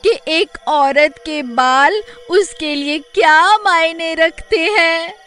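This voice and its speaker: A woman's voice: extremely high pitch, takes it slowly, a bit bright, faint music behind, full character voice. A woman playing an earnest village woman in a cartoon horror story, with an imploring manner. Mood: tearful pleading. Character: distressed, earnest village woman pleading for justice.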